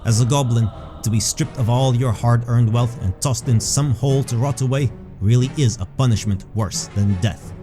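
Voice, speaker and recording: A man narrating a scripted fantasy story as a measured storyteller. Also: medium pitch. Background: background music.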